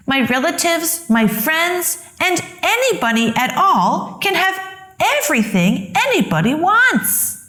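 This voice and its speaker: A woman's voice: high voice, sing-song, unhurried, sharp tone, roomy sound, pitched above the performer's own voice, full character voice. A woman voicing an eager, earnest young boy donkey in a children's storybook. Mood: delighted, eager. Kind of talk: children's storybook read-aloud character voice.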